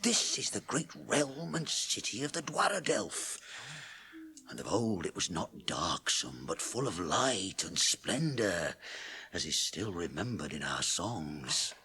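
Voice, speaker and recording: An actor playing a proud, solemn dwarf in a radio drama, grave and declamatory, with a gravelly, husky voice. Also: male voice, sits low, big pitch swings, takes it slowly, bright, forceful tone, a little hiss or hum, heavily acted. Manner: grave, declamatory, chanting old verse. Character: proud, solemn dwarf mourning his people's lost kingdom. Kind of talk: 1980s radio drama acting.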